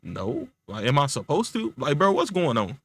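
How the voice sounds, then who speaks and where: Man as fed-up young male friend in a comic tale: blunt, profane, slangy, self-preserving, slightly high voice. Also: big pitch swings, fairly bright tone, dry recording. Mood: puzzled, wary. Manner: blunt, slangy, profane, rapid rhetorical questions.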